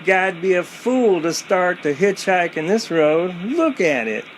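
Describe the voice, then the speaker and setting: An actor playing a folksy country gas station attendant in a radio play, talking in a colloquial, drawn-out way. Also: male voice, high voice, very animated, slight background noise. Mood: folksy, matter-of-fact.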